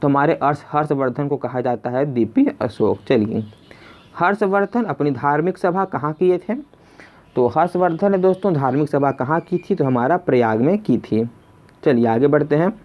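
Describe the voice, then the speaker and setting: A man presenting a spoken exam quiz lesson, conversationally repeating each question before giving the answer. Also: a little high. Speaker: quiz teacher and video host. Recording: dull lo-fi voice recording.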